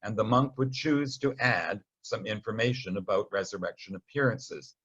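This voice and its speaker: A man with a fairly low, husky voice leads a Bible study. He explains things informally, like a conversational storyteller with a wry streak. The recording sounds a little dull. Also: moderate pace, a bit bright. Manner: conversational, wryly storytelling, acts out short quotes.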